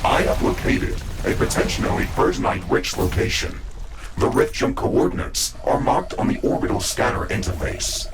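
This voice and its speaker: Game role: male mech-suit computer, composed and formal, fry-laden synthetic voice with swinging pitch, clipped procedural announcements. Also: big pitch swings, moderate pace, heavy background noise. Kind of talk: video game voice acting, status reports.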